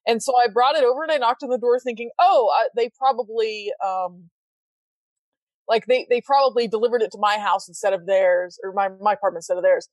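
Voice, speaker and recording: A woman's voice: a little high, steady tone, a little fast, dry recording, low-quality recording. A woman guesting on a podcast, telling stories in a chatty, laughing way. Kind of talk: casual chat.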